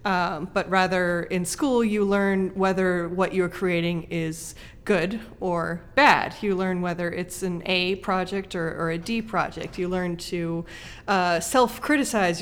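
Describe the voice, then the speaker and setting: A woman with a somewhat low-pitched voice speaks in a conversational discussion. She explains ideas thoughtfully, building each point from short, parallel clauses. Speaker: podcast guest host, creative writing teacher.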